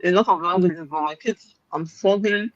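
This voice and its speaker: A woman's voice: fairly low pitch, moderate pace, no echo, highs cut off. A Nigerian woman chatting casually about raising children, laughing often as she reflects. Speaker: parent in a discussion. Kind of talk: casual chat.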